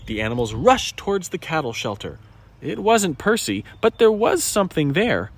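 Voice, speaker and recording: A man's voice: fairly high pitch, sing-song, moderate pace. A man reading a children's story aloud with dramatic, emphatic expression. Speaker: storybook reader.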